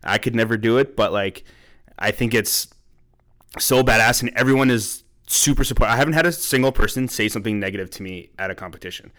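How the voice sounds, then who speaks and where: A man's voice: fairly low pitch, fairly quick, fairly bright tone. A man co-hosting a podcast in casual chat, rambling with lots of fillers and laughing and swearing easily. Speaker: podcast co-host.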